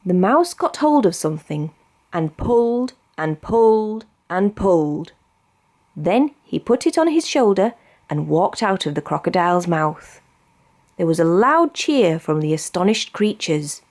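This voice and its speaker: Woman, British accent, storybook narrator, expressive, deliberate phrasing, lowish voice, very varied intonation. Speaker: storybook reader. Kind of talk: scripted children's story narration.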